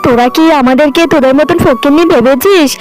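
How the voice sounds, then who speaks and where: Actress as a high-voiced, contemptuous cartoon neighbor, faint music behind. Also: female voice, brisk, natural voice, rough recording. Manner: haughty, contemptuous, shouting insults. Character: haughty, snobbish neighbor woman.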